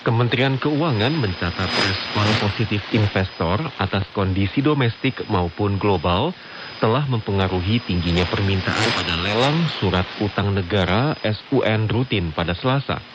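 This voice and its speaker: A man's voice: lowish voice, normal speed, lo-fi sound, very noisy. A man reads scripted radio news as a co-host on a shortwave broadcast.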